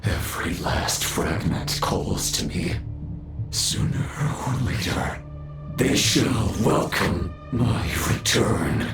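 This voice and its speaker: An actor voicing an arrogant, power-hungry undead villain in a video game, speaking theatrically with condescending pauses. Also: male voice, on the low side, lively intonation, vocal fry, echoey room, music in the background, full character voice. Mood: grandiose, brooding. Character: menacing undead male villain, arrogant sinner craving power.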